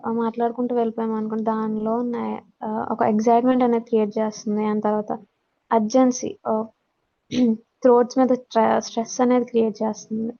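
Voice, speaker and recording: A woman with a Telugu Indian accent giving an explanatory lesson, mixing in English terms and pausing hesitantly, on a dull lo-fi recording. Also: a little high, little pitch movement, mellow. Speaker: teacher giving a communication skills lesson. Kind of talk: explanatory lecture, reading out points then explaining. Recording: dull lo-fi audio.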